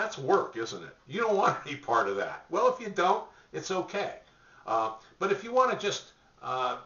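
A man's voice: mid-range voice, pushed and bright. An older man giving bowling practice tips straight to camera from a home office, talking conversationally with fillers and restarts. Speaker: bowling coach. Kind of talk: direct-to-camera tips talk. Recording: roomy, distant, dull webcam sound.

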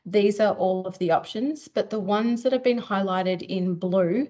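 A woman, a chemistry teacher, presenting in a webinar over a video call in a relaxed, slightly rambling way. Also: mid-range voice, measured pace, lo-fi sound.

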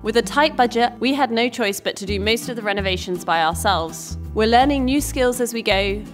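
A woman in her thirties from the United Kingdom, reading a scripted voice-over narration in an even manner. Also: fairly high pitch, brisk. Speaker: vlog co-host. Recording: voice-over with background music.

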